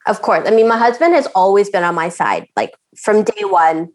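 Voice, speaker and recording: A woman from Canada talking as a podcast guest, with a middle-pitched voice. She chats loosely, laughs easily and often restarts her phrases. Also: animated, moderate pace. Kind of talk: casual chat.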